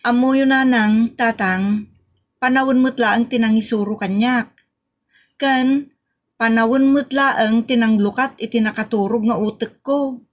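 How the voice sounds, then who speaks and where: A woman's voice: on the high side, fairly even intonation, a little slow, lightly acted. A woman playing an earnest, repentant grown child with a sincere, pleading manner in a comedy audio drama. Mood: grateful, resolved.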